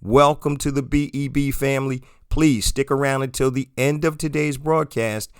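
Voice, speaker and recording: Man, hoarse, smooth resonant voice, dry close sound, solo podcast host, motivational. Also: medium pitch, no room sound. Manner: promotional, motivational, addresses listeners as guys.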